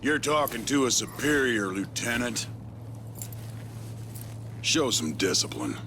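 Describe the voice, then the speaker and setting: An actor playing a sadistic, taunting renegade soldier with a menacing, mocking drawl in a video game cutscene. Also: male voice, medium pitch, takes it slowly, slight background noise, full character voice. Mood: mocking menace. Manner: menacing, mocking drawl, swinging from calm to shouting.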